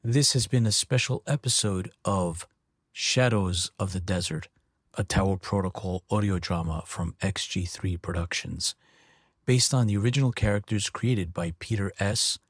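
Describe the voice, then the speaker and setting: Low-voiced man, dry credits announcer. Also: normal speed, no echo.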